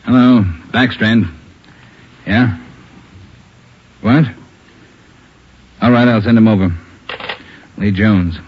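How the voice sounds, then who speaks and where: A man acting a skeptical American chief of detectives in a 1950s radio drama, curt with hesitant pauses. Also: on the low side. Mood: brisk, questioning. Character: gruff, skeptical male police chief of detectives. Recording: dull, noisy old radio recording.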